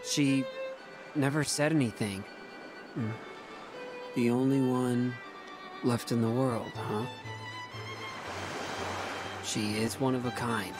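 Game role: young elite soldier, played by a man, brash yet earnest, unhurried delivery, music underneath. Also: mid-range voice, fairly even intonation, lightly acted. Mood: puzzled, pensive. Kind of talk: video game cutscene acting.

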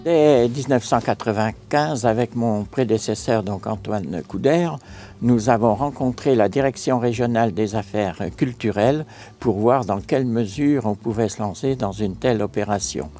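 An older man from France, a local mayor, recounting a restoration project in a measured, formal way in an outdoor interview. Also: medium pitch, warm tone, faint music behind. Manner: measured, formal, a few fillers. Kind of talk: interview answers, measured account. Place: outdoors on a stone chapel tower balcony.

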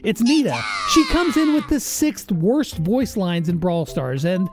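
A man's voice: high-pitched, big pitch swings, unhurried. A man, giving casual, conversational countdown commentary as a video host. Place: small home studio, indoors. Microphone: close boom-arm studio microphone. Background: faint music.

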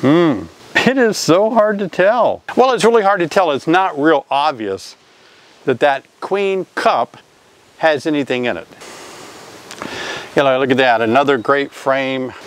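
A man's voice: fairly high pitch, animated, unhurried. Chatty older man teaching beekeeping. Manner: chatty, asks viewers questions. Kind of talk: casual instructional vlog. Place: outdoors by a hive, then a covered porch.

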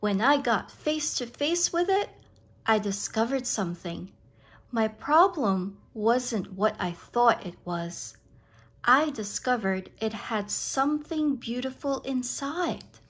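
A woman reading a picture book aloud to children, with expressive, deliberate, dramatic phrasing. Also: a little high, husky.

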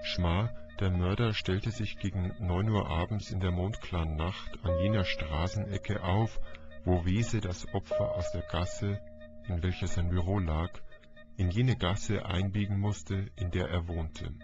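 Slow, low-voiced male narrator. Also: big pitch swings. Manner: deliberate, declamatory. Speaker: audiobook narrator. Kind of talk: dramatic literary narration. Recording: dull lo-fi audio, music behind. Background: music.

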